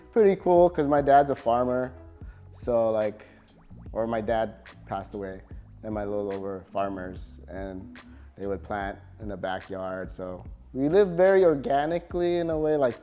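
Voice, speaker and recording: A man from California, a tattoo artist, talking casually in an interview with a relaxed, halting manner full of fillers. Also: fairly low pitch.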